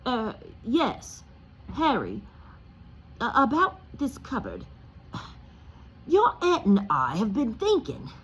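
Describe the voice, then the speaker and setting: A woman's voice: on the high side, wildly swooping pitch, slow, long pauses, a little hiss or hum, voice pushed up, full character voice, low-quality recording. Woman acting a blustering, stammering, hot-tempered uncle. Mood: forced niceness. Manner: stammering, barking orders. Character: blustering, hot-tempered middle-aged uncle, gruff and panicky.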